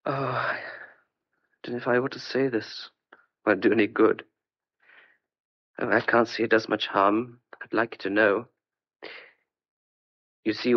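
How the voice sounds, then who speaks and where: An actor playing a desperate, stubborn but tender man on the run, speaking earnestly and hesitantly in old-fashioned clipped phrases in a radio thriller. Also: fairly low pitch. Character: desperate fugitive man wrongly suspected of murder, stubborn, tender. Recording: dull lo-fi old radio drama recording.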